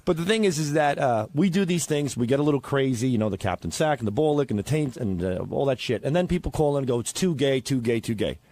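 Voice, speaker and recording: A man's voice: mid-range voice, lively intonation, quick pace, hardly any pauses, a little mellow. A man who works on a radio show, arguing off the cuff in a defensive, profane way with stammered repetitions. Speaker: radio show staff member and performer. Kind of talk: unscripted group argument on a talk show.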